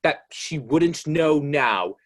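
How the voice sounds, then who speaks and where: A man playing an ominous, all-knowing narrator of a radio mystery, speaking in a deliberate, insinuating way as he taunts a guilty woman. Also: on the high side, animated, fairly bright tone, full character voice. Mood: taunting, suspenseful.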